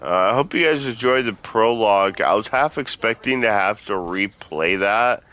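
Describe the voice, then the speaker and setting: A man's voice: fairly low pitch, expressive, moderate pace, bright, forceful tone. A man giving casual let's-play commentary, talking informally to his viewers and swearing freely. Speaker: gaming video host.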